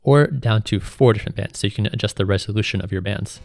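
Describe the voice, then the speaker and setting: Gravelly man explaining a software tutorial. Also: on the low side, fast. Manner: informal, step-by-step explaining. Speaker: music production instructor. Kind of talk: screen tutorial narration, explanatory.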